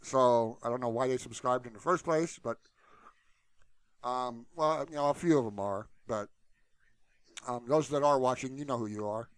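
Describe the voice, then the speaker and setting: A man's voice: mid-range voice, moderate pace, long pauses, clear, resonant tone, no echo, poor audio. A man hosting his own channel, talking to viewers in a casual, unscripted ramble peppered with "you know". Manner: informal and unscripted, repeatedly saying "you know". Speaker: YouTube channel host.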